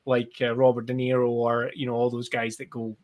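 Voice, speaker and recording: Scottish male interview guest, conversational and explanatory, loose unscripted phrasing, mid-pitched. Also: completely flat delivery, a little fast, highs cut off. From Scotland. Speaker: guest, brand strategist and designer. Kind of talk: casual live interview chat.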